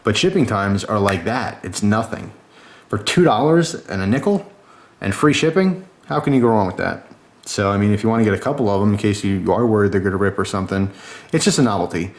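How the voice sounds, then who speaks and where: A man's voice: lowish voice, animated, brisk. A man giving a casual product review in a chatty, informal and enthusiastic way.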